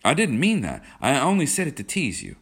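A man's voice: on the low side, very animated, unhurried, voice pushed up. A man reads as a proud young provincial suitor in a storybook. He is sincere and a little hesitant. His voice rises and falls with plenty of movement.

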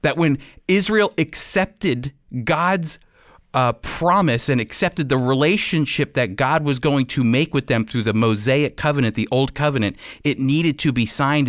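A man's voice: medium pitch, no echo. Man teaching the Bible, dry studio sound. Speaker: radio Bible teacher and host.

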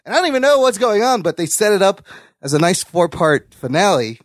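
A man's voice: high voice, sing-song, a little fast, no echo, clean studio sound. A man co-hosting a casual pop culture chat, talking with enthusiasm and plenty of swearing. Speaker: podcast co-host.

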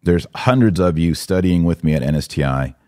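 A man's voice: deep voice, animated, a little fast, darkish tone, no room sound, studio quality. An American man hosting a live podcast, commenting and answering viewers in an emphatic way, repeating phrases for stress. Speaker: podcast host.

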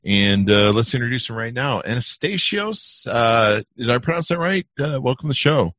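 A man's voice: medium pitch, lively intonation, moderate pace, fairly bright tone, dry recording, studio quality. A man hosting a podcast, talking casually and rambling with many fillers and restarts. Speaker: podcast host. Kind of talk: casual interview chat.

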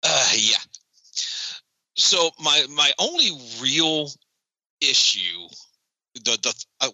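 A man co-hosting a casual podcast chat about a TV episode, animated and joking. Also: mid-range voice, no room sound, rough recording. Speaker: podcast co-host.

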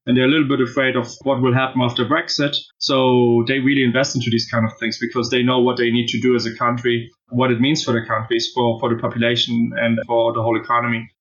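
German-accented male guest, casual and thoughtful, mid-pitched, lo-fi sound. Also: flat delivery, quick pace, hardly any pauses, a bit bright. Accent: German-accented English. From southern Spain. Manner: thoughtful, many fillers and restarts. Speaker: podcast guest, blockchain author. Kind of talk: casual interview answers.

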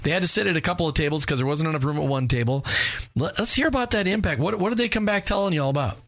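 A man's voice: fairly high pitch, animated, very fast, fairly bright tone, no echo, studio quality. A man co-hosting a faith-based business talk show, upbeat and chatty as he plugs a sponsor. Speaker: radio show co-host.

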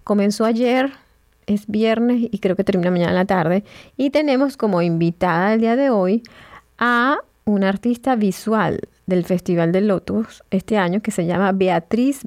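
A woman hosting a community radio interview, asking her questions in an easy, unhurried way and stretching out her words for emphasis. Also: medium pitch, darkish tone, no room sound, studio quality. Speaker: radio show host.

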